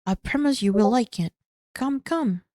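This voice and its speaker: A woman voicing an excitable middle-aged male Russian scientist in a put-on Russian accent, speaking broken English and eagerly repeating words. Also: on the high side, unhurried, dry recording, clean studio sound. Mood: coaxing. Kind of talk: casual read-aloud voice acting.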